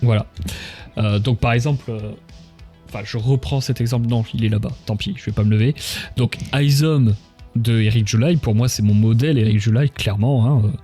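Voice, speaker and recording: Homme au timbre doux, parler direct et familier. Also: male voice, medium pitch, fairly quick, mellow, breathy edge. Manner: emphatic, blunt, colloquial. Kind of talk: casual opinionated livestream conversation. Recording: music behind the voice. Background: music.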